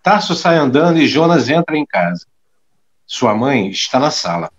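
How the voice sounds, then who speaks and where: Mid-pitched male audio drama narrator. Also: very animated, moderate pace, dull audio. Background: clear music.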